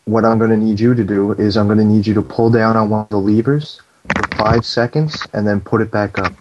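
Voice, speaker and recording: A man playing a calm, bossy fire alarm operator on a prank phone call, deadpan, procedural and insistent. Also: on the low side, fairly quick, background noise, lightly acted. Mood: deadpan, official. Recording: dull lo-fi phone call recording.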